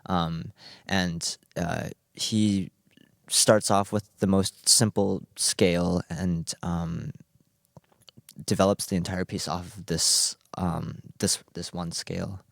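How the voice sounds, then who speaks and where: A man in his twenties from New Jersey with a very deep voice. He talks about music eagerly and earnestly, filling his pauses with uhs and ums. The sound is dry and close. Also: flat delivery, gravelly, a bit bright, no echo. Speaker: cellist, music student. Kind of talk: radio interview. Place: radio performance studio.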